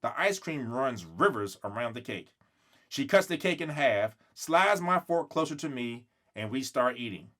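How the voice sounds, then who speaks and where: Very animated man reading a children's story. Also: mid-range voice, normal speed. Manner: acts out dialogue, stretches words for emphasis. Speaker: reader of a children's book. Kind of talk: expressive read-aloud storytelling.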